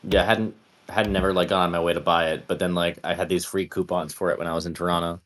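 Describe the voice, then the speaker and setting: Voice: low-pitched, steady tone, quick pace, fairly bright tone. Someone chatting casually during an online tabletop roleplay session. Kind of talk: casual chat.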